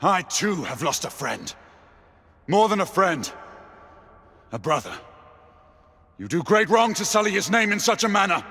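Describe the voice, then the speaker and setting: An actor playing a proud, defiant knight with a British accent, husky and formal, in a video game drama. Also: male voice, sits high, sing-song, a little slow, long pauses, bright, forceful tone, heavily acted. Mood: indignant. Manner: formal, earnest. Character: proud, defiant male knight, loyal and intense. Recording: reverberant hall.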